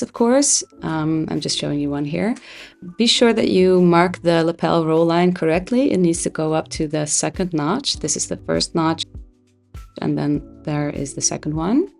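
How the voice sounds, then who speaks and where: A woman whose first language is Dutch, a pattern designer calmly explaining a sewing project step by step with occasional fillers. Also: low-pitched, moderate pace, vocal fry, soft background music. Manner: calm, explanatory, with frequent "uh" fillers. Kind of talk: sewing tutorial.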